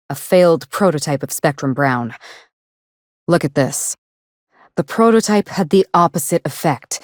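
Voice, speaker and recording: A woman's voice: low-pitched, dry recording, natural voice. An actress playing a sardonic, grieving woman out to avenge her sister in a video game, speaking articulately with dry, controlled sarcasm. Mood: businesslike, determined. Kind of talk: video game dialogue acting.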